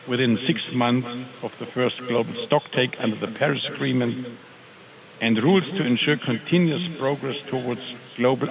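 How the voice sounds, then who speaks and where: A man presenting to a conference audience in a deliberate, hesitant way, often asking for the next slide. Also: mid-range voice. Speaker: board chair presenting. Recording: muffled sound with crowd noise.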